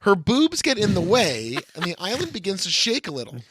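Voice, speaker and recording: Fast, animated, higher-pitched male podcaster. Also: slightly rough, no echo. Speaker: podcast co-host. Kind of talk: comic banter and dramatic screenplay reading.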